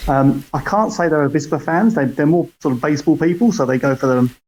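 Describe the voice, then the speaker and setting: A man's voice: fairly high pitch, brisk, a little mellow, rough recording. British man, podcast guest, casual talk over a call, hesitant delivery, frequent fillers. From United Kingdom. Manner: hesitant, many fillers and restarts. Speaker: podcast guest, football fan. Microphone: call audio.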